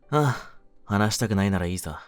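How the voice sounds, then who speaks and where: Man acting a low-voiced, world-weary young mafioso. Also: expressive, a little fast, no echo, lightly acted. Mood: gentle, lonely. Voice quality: low-pitched voice. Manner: rough, streetwise masculine speech, brooding and soft-spoken. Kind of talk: intimate Japanese situation-voice roleplay, ASMR-like.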